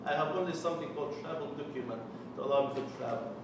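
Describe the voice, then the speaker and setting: A man's voice: fairly high pitch, fast. Palestinian-accented man, echoey distant room. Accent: Palestinian Arabic. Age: 40s. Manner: earnest, with wry jokes. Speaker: Lutheran mission developer. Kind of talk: personal testimony talk to a committee. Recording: echoey room from far off, with noise.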